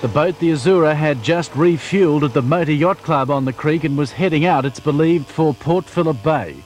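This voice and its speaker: A man's voice: fairly high pitch, normal speed, darkish tone. A man reading a scripted television news report. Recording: faintly noisy 1980s TV report.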